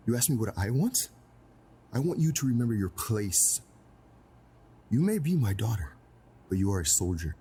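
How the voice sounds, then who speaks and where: A middle-aged crime boss and stern father with a low voice. He speaks in a formal, deliberate, menacing way, cold and domineering, as in a screen drama. There is clear noise behind him. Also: darkish tone. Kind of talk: dramatic film-style acting. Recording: clearly noisy background ambience.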